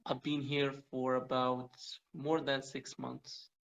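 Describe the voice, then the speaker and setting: A man with a Moroccan accent speaks in a casual interview manner. He is halting as he searches for words in English, and the audio is muffled, like an internet call. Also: mid-range voice, level tone, gravelly, a bit bright. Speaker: English learner asking interview questions. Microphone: online video call.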